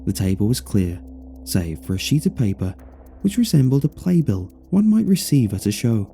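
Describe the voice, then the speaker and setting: A man's voice: mid-range voice, sing-song, moderate pace, creaky, dark tone, a little hiss or hum. A man reading a scripted first-person horror story as its narrator.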